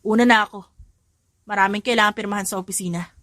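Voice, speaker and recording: A woman's voice: mid-range voice, fairly even intonation, fast, fairly bright tone, no room sound, studio quality, natural voice. Woman voicing a cold, curt husband. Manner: curt, scornful. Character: cold, irritable rich young husband.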